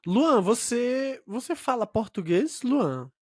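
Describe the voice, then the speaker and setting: A man's voice: very high-pitched, very animated, slow pace, dry recording, studio quality. A man co-hosting a language podcast, chatty and friendly, setting up examples for his co-host. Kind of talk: casual teaching chat.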